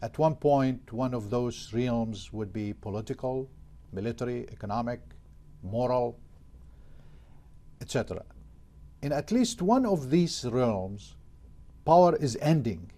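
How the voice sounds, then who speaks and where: A man hosts a formal television interview in a dark, mellow voice. He asks long, winding questions, pausing on fillers as he goes. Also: medium pitch, lively intonation. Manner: hesitant questioning with many fillers. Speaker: TV interview host.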